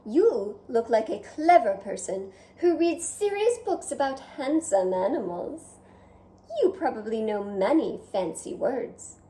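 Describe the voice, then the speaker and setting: Storybook figure: woman reading a self-admiring French snail, theatrical, high and mellow, roomy sound. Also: sing-song, a little slow. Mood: vain, theatrical. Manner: theatrical, self-admiring, addresses listener directly. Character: vain, dramatic male French snail. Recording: roomy echo.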